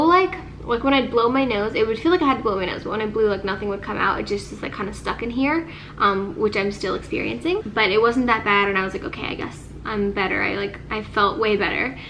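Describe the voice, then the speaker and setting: A woman's voice: mid-range voice, a little fast, a bit bright, a little hiss or hum, rough recording. Congested, nasal-sounding young woman vlogging. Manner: chatty, sprinkles speech with "like". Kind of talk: casual chat to camera.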